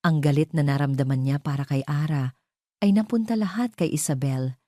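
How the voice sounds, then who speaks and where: A woman with a Filipino accent narrates a dramatic audio story. Her voice is low for a woman and dark in tone, with measured delivery. The recording is very dry and close. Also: dry recording.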